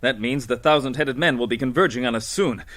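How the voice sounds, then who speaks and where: An actor playing a decisive heroic adventurer in a radio serial, giving orders with authority. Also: male voice, medium pitch, a little fast, fairly bright tone, close to an everyday voice. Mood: grave, commanding. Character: commanding heroic male adventurer, calm and decisive. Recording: old radio drama recording.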